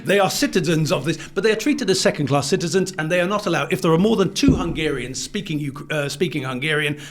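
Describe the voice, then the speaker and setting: A man's voice: a little high, quick pace. A man co-hosting an opinionated political discussion, speaking emphatically and acting out imagined quotes. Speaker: podcast co-host.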